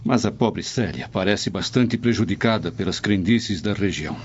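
A man's voice: low-pitched, moderate pace, natural voice. An actor with a Brazilian accent playing a troubled, stern Roman father, speaking formally in a radio drama. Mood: grave, troubled. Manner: formal, solemn, literary speech. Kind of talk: dubbed radio drama acting. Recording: dull lo-fi, noisy ambience.

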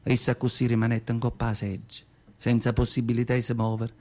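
A man playing an earthy, feisty old Southern Italian woman who tells her memories in expressive Neapolitan dialect, in a theatrical radio monologue. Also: mid-range voice, fast talker, lightly acted. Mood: wistful. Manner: expressive, colloquial dialect storytelling. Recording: prominent acoustic guitar music behind.

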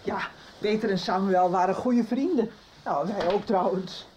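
An actress plays a chatty, welcoming middle-aged Dutch woman in a radio soap. Her voice sits at an ordinary female pitch, with a little hiss in the background. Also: a little slow, close to an everyday voice.

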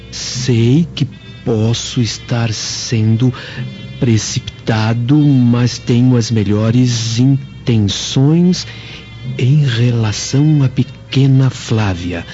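An embittered Roman servant with a Brazilian accent, sounding out a formal letter very slowly and haltingly. His voice is dark and mellow, in a dull radio drama recording over faint music. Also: medium pitch. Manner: halting, deliberate reading.

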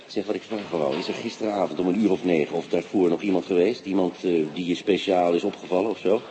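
An actor playing a brisk, persistent police inspector in a radio play, questioning people in a businesslike, slightly dry way. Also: male voice, low-pitched, steady tone, warm tone, a little hiss or hum, close to an everyday voice. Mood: probing.

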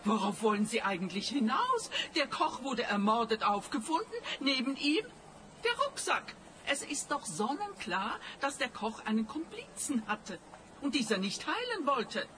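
Radio play client: insistent older woman, bright and animated, unsentimental, over background ambience. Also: high-pitched, unhurried, slight background noise, exaggerated voice. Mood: firm, cold. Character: cool, calculating older female client, a bank robber's wife.

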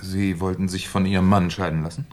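A deep-voiced, shrewd police commissioner , played by a male actor. He is courteous and musing as he probes, in the style of a 1970s radio crime drama. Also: little pitch movement, brisk, natural voice. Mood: probing. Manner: polite, musing, quietly probing.

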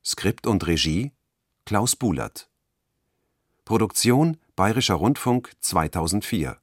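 A man announcing scripted radio credits in a measured, list-like reading. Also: on the low side, animated, breathy edge, dry recording, clean studio sound.

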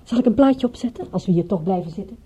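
A woman's voice: medium pitch, animated, quick pace, mellow, slight background noise, lightly acted. A woman acting in a radio play as a detective's level-headed partner, teasing, coy and soothing. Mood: soothing, affectionate.

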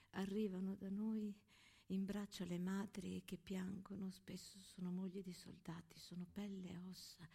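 A woman playing a tender, grieving young wartime woman who confides in her diary in a breathy, hushed voice. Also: mid-range voice, exaggerated voice. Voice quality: breathy, half-whispered. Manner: hushed, slow, intimate. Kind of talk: theatrical monologue set to music. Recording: stage monologue with music behind.

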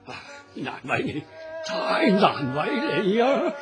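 An actor playing a poor, defensive old father-in-law in a Cantonese radio drama, with theatrical drawn-out sighs. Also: high voice, wildly swooping pitch, music in the background, exaggerated voice. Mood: sorrowful.

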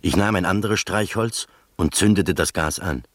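Male narrator, measured, literary radio play, low pitch, close dry sound. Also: dry recording. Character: observant male first-person storyteller.

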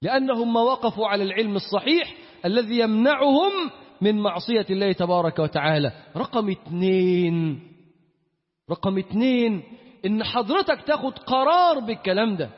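High-pitched Egyptian preacher in an echoing hall. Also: male voice, expressive, a little fast. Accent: Egyptian Arabic. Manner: emphatic, repeats key phrases. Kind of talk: Friday sermon with recitation and supplication. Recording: echoey hall with long reverb, dull sound.

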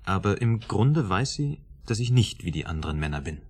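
A man acting in a German radio drama as a proud, troubled psychotherapy patient, speaking earnestly and in a measured way. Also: low voice, very animated, darkish tone, exaggerated voice. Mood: earnest, devoted.

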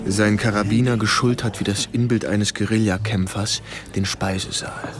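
Ein Schauspieler spielt in einem Hörspiel einen jungen amerikanischen Leutnant, der in der Ich-Form anschaulich und aufmerksam vom Krieg erzählt. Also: male voice, fairly low pitch, steady tone, close to an everyday voice. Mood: reflective. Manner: measured, vivid storytelling. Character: observant young American lieutenant recalling the war. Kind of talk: first-person radio play narration. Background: music.

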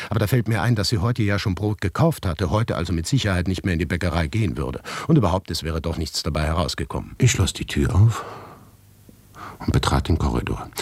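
An actor playing an anxious, haunted writer who narrates an absurd nightmare in a literary radio play, brooding and deliberate, with a husky voice that can sink toward a whisper. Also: male voice, darkish tone, no room sound. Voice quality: deep, gravelly, husky, often breaking into whispers.

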